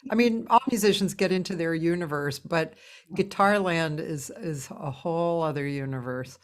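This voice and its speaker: A woman hosting an interview over a video call, talking in an unhurried way with frequent laughter. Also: low voice. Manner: unhurried, warm laughter, trailing pauses. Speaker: host. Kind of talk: casual interview chat.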